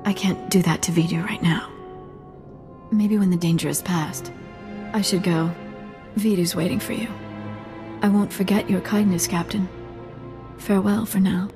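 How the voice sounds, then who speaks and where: Woman as a soft, coy young damsel. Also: medium pitch, steady tone, moderate pace, pauses often, over music, natural voice. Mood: coy gratitude. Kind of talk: video game voice acting.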